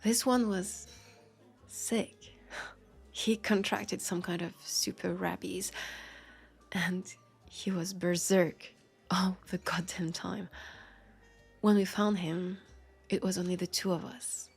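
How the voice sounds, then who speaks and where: A woman with a French accent playing a world-weary, guarded immortal vampire detective in an audio drama, speaking haltingly and confidingly with a creaky, breathy voice that slips toward whispers. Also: fairly low pitch, steady tone, soft background music, full character voice. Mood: shaken, hesitant, then grieving, pained. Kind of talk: intimate roleplay audio drama monologue.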